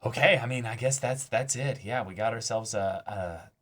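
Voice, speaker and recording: Halting, emphatic man in casual discussion. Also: mid-range voice, fairly bright tone. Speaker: reaction show host.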